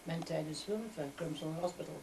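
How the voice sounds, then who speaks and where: Elderly woman, hesitant and low. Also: fast talker, gravelly, darkish tone, a little hiss or hum, rough recording. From Manchester, England. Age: older. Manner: hesitant, repeats herself. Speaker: interviewee. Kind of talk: casual family chat.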